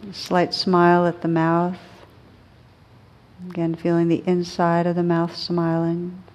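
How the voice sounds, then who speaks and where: A woman teaching a guided meditation in a gentle, soothing, unhurried manner with long pauses between phrases. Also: on the low side, monotone.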